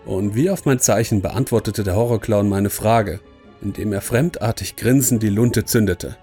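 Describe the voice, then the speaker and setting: Low, dark-voiced male horror narrator. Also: moderate pace, faint music behind. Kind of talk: scripted horror story narration, first person.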